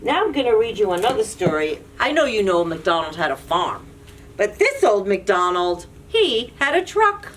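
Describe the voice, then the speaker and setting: A woman reading picture books aloud to young children, with an animated, playful, questioning delivery. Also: mid-range voice, moderate pace. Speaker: children's librarian and storyteller. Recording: distant mic, audible background noise.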